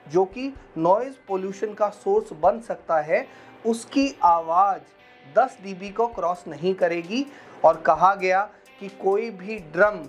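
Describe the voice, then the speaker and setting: Indian lady doing a legal explainer, lowish voice, teachy, some english words mixed in, soft music under it. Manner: instructive, mixing in English terms. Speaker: presenter of a legal explainer video. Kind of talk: scripted explanatory narration addressed to viewers. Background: background music.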